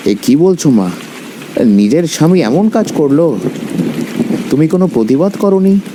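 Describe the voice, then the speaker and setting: A man's voice: on the high side, lively intonation, a little slow, noisy background. A man playing a kindly, fatherly village tea seller in a cartoon story, concerned and soothing, with music behind. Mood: dismayed.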